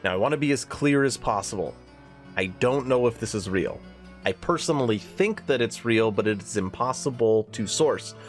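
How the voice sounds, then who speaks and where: A man's voice: medium pitch, expressive, normal speed, soft background music. A man hosting a gaming news channel, sounding nasal from a cold, talking earnestly in a conversational way. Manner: earnest, conversational, reads a forum post aloud. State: has a cold.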